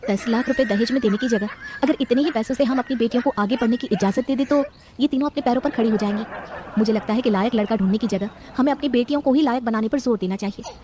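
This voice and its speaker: A woman voices a resolute young mother and wife in a cartoon family tale. Her voice is fairly high and she talks quickly, calmly firm and reasonable, with some noise in the background. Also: natural voice. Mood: reasonable, reassuring. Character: sensible, resolute wife and mother of three daughters.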